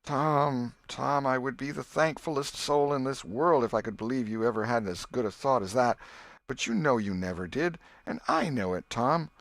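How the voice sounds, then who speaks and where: A man's voice: mid-range voice, moderate pace, fairly bright tone, dry recording, pitched above the performer's own voice, close to an everyday voice. A man voicing a scolding, sorrowful old aunt with folksy rural speech in a storybook reading. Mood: reproachful, sorrowful.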